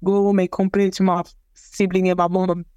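Young woman from Cameroon, French first language, hesitant, repeats herself, smooth clear voice, dry close sound. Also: fairly low pitch, flat delivery, moderate pace, dark tone, no room sound, lo-fi sound. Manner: hesitant, repeats words, many fillers. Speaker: interviewee, athlete. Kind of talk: casual personal storytelling.

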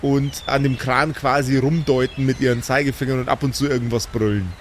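A man narrating a live improvised tabletop game as game master, setting scenes in an unhurried way with small asides. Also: medium pitch, fairly even intonation, slight background noise.

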